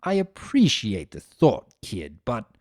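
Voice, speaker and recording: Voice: mid-range voice, huge pitch swings, takes it slowly, no echo, studio quality, exaggerated voice. Performer as a sardonic, theatrical chaos spirit. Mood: exasperated. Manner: wry, theatrical, sarcastic. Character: Discord, sardonic, grumbling male spirit of chaos turned statue. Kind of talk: first-person dramatic storytelling.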